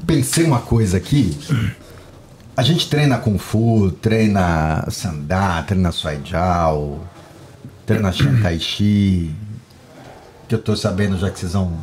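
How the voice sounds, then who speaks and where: A man's voice: sits low, darkish tone, slight background noise. A man with a Brazilian Portuguese accent hosting a podcast, joking and laughing as he reads a guest's introduction. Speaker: podcast host. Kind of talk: playful podcast banter.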